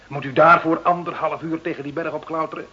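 A man's voice: a little high, fairly even intonation, fast, bright, forceful tone, husky. An actor playing a boastful, cheeky Italian village father in a Dutch radio drama, with a mocking, wheedling, theatrical manner. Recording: dull, hissy old radio recording.

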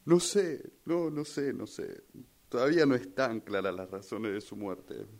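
Un actor como hijo en duelo, argentino, dubitativo. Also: male voice, mid-range voice, expressive, measured pace, darkish tone. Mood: troubled, doubtful. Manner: hesitant, repeats phrases. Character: grieving son troubled by suspicions over his father's death. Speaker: radio drama workshop participant. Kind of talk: rehearsed radio drama acting.

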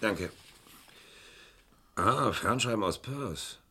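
An actor playing an inquisitive, terse police colleague in a German radio crime play, with a dry manner. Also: male voice, lowish voice, animated, extremely slow, pushed and bright, slightly rough, full character voice.

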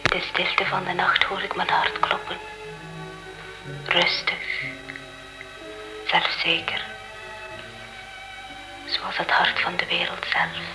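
An actress playing a tender young noblewoman's ghost in love, whispering slowly in a radio drama. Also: on the low side, completely flat delivery, rough recording. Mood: dreamy, tender. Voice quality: breathy, whispering. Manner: slow, dreamy, reminiscing. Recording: music playing over the whispered voice.

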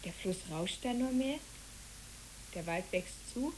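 A woman's voice: mid-range voice, expressive, a little slow, darkish tone, lightly acted, poor audio. A woman playing a dreamy, talkative child in a German radio play, speaking wistfully and lyrically. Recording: hissy old radio play recording.

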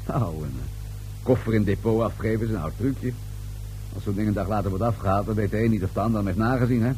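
An actor playing a dryly suggestive police inspector in a radio play, with measured, insinuating questioning. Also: male voice, fairly low pitch, mellow, faint music behind, close to an everyday voice, poor audio. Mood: dry, probing.

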